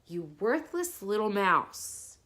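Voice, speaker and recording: Woman playing a slow, theatrical storybook lion. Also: mid-range voice, very animated, fairly bright tone. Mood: menacing. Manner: drawn-out, declamatory. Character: proud furry male lion, big, theatrical, blustering. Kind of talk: bedtime storybook character voice.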